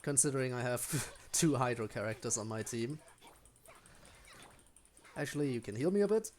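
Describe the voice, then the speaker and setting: A man's voice: mid-range voice, moderate pace, a little hiss or hum. Man casually commentating his gameplay. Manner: thinking aloud while playing. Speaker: game streamer.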